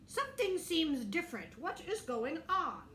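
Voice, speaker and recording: A woman's voice: very high voice, sing-song, measured pace, a bit bright, reverberant, a little hiss or hum, pitched above the performer's own voice, exaggerated voice. A woman voicing a big father bear in a storybook telling. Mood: puzzled.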